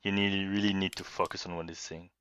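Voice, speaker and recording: A man's voice: low voice, flat delivery, fast, a bit bright, clear, resonant tone, no room sound. A man co-hosting a hobby podcast, joking and laughing often, on a dull lo-fi mic. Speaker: podcast co-host. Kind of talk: casual chat.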